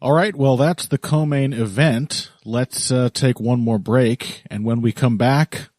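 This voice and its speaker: A man's voice: mid-range voice, measured pace, darkish tone, no echo, clean studio sound. A male podcast co-host chatting casually and analytically about fights, teasing his co-host and laughing easily.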